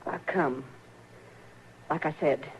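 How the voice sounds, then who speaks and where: A woman's voice: low voice, slow. Bitter, grieving frontier mother. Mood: bitter, resolved. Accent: rural American. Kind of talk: 1950s radio western acting. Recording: muffled, noisy old radio recording.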